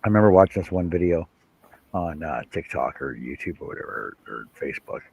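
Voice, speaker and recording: A man in casual chat, blunt and animated as he tells stories. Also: low voice, fast talker, gravelly, slight background noise.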